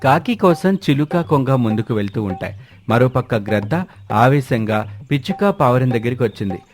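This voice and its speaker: A man's voice: medium pitch, expressive, moderate pace, darkish tone. Man narrating a story over music. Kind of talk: scripted narration of a children's cartoon story. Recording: music bed under the voice.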